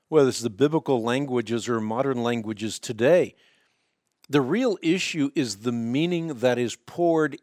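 This is a man hosting a call-in radio show, his first language Dutch. He explains answers fluently and emphatically, sometimes tripping over rapid repeated words. His voice sits in a middle register and is recorded close and dry in the studio. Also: medium pitch, no room sound. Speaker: radio talk show host.

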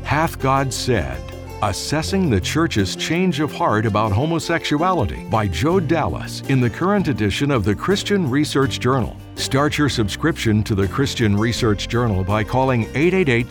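A man's voice: mid-range voice, very animated, normal speed. A man reading a scripted radio promo in a polished announcer delivery. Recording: promo with music bed.

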